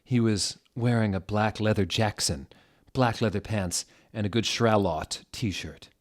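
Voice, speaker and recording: Low-voiced man reading deadpan. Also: unhurried. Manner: deadpan, reads typos and punctuation aloud. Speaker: host reading fan fiction aloud. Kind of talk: mock-dramatic scripted narration.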